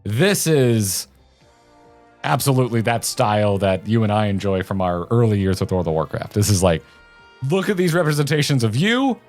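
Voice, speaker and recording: Low-voiced enthusiastic man, casual gaming commentary. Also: animated, normal speed, darkish tone. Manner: enthusiastic, slangy. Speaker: co-host of a gaming reaction show. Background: music behind the voice.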